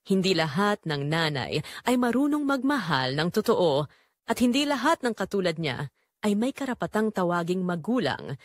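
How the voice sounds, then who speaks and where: A woman with a Filipino accent playing a betrayed, resolute daughter, narrating her story in a solemn, deliberate, emotional way. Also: fairly low pitch, dry recording, clean studio sound. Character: Clarice, betrayed daughter and wife, wounded, resolute. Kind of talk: first-person dramatic scripted narration.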